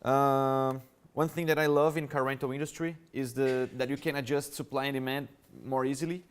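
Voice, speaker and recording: A man with a Brazilian Portuguese accent gives a conference presentation, explaining his points in an easy, conversational way and addressing the audience directly. His voice is smooth and clear and sits at a medium pitch. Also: fairly even intonation, normal speed. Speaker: conference speaker. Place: conference hall.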